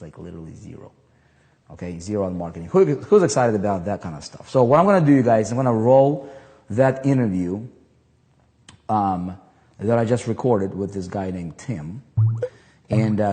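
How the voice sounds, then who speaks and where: A man hosting an online marketing group pitches its products to members in a casual, hyped way. He rambles, restarts his sentences and leans on "you know" and "right," at an everyday middle pitch. His voice carries a long reverb tail, as if recorded in a large hall. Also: dark tone. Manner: rambling, full of fillers and restarts; hyped, salesy. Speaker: affiliate marketing group host.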